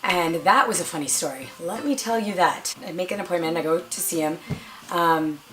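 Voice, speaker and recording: A young woman with a raspy voice, chatting casually to camera as a vlogger and filling her stories with "like". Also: on the low side, moderate pace, crowd noise, low-quality recording. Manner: chatty, lots of "like" and quoted dialogue.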